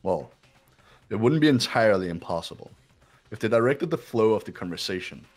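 Casting: man as arrogant teenage heir, low register, clipped and condescending, game dialogue. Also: lively intonation, brisk, soft background music. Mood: cold, condescending. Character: haughty, cold, rich teenage boy. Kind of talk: amateur visual novel voice dub.

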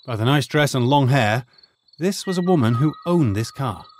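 An actor playing a retired archaeologist turned high school teacher, measured and explanatory in an audio drama. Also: male voice, lively intonation, natural voice. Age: older.

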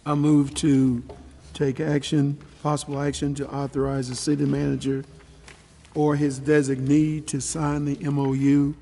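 A man's voice: fairly high pitch, completely flat delivery, measured pace, dark tone. A man, a council member, formally reading out a motion. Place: indoor council chamber. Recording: reverberant meeting room.

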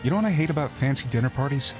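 A man's voice: mid-range voice, animated, very fast, music in the background, natural voice. A man playing a wisecracking young treasure hunter with sarcastic banter in a fan audio drama. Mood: wry.

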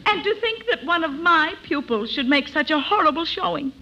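A woman's voice: high voice, lively intonation, normal speed, bright, forceful tone, slight background noise, full character voice. A woman playing a stern, exasperated schoolteacher, prim and scolding, in a vintage radio comedy. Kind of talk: 1950s radio comedy acting. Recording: muffled vintage radio recording.

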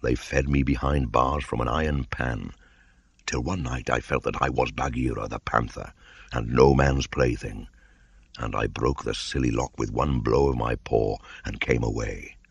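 Man voicing a very deep gravelly panther mentor. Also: steady tone, normal speed, dry recording, heavily acted. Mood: grave, tender. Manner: solemn, archaic storybook speech.

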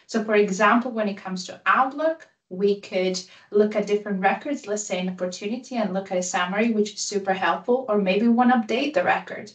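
A woman's voice: medium pitch, a little fast, hardly any pauses. A woman in her thirties from Greece and Italy with a Greek accent, giving an enthusiastic presentation as a guest speaker on a video call, with a light joking tone. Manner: upbeat, enthusiastic, self-deprecating humor. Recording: roomy sound, voice a bit far from mic.

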